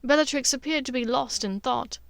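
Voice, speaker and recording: A woman's voice: high voice, a little fast, gravelly, dry recording. A woman reading scripted fiction aloud as a story narrator. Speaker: podfic narrator.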